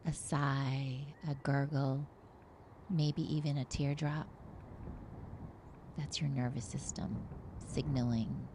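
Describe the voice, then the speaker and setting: A woman meditation teacher speaks in a dark, mellow voice at a very slow pace. Her delivery is soothing, gentle and hushed, guiding the listener into relaxation. Some noise with faint birdsong sits behind her. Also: extremely low pitch.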